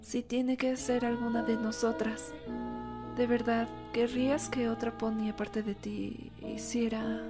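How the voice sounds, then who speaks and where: A woman acts as a female cartoon unicorn, grave and rational. Her voice is high, with very little rise and fall. She speaks in a measured, solemn way over prominent music. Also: level tone, natural voice. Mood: solemn, reasoning. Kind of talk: cartoon fandub acting.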